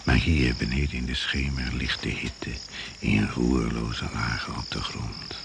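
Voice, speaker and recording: An actor playing a grave, measured male narrator of a radio jungle thriller. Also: rumbling low, level tone, gravelly, background noise, full character voice. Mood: hushed, atmospheric. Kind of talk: scripted radio drama narration.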